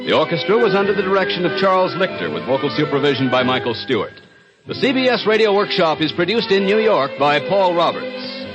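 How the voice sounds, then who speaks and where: Music plays behind a male announcer on a vintage radio broadcast. He has a fairly high, lively voice with wide swings of pitch and delivers formal, measured network announcements in 1950s style. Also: lo-fi sound.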